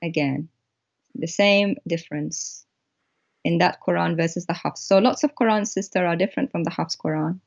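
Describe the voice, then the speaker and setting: A woman whose first language is Arabic, a guest explaining text on screen in a halting way with many pauses. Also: fairly low pitch, creaky, dull audio. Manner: halting, pointing things out.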